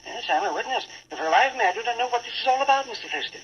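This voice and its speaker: High, flustered old professor. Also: male voice, animated, racing, a bit bright, husky, muffled recording, heavily acted. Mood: bewildered. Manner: flustered, hesitant, repeating himself. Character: fussy, bewildered older male professor, penny-minded and dithering. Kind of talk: 1940s radio drama acting. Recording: noisy old radio recording.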